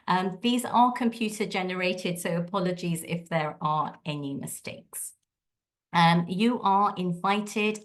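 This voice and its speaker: Woman reading housekeeping notes with many ums. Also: medium pitch. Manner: friendly, with many filler ums. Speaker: event co-host. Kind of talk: housekeeping announcements. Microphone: video call.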